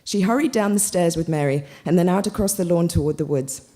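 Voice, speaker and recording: A woman's voice: fairly low pitch, a little fast, dark tone. A woman reading fiction and literary commentary aloud as narration at a literary event.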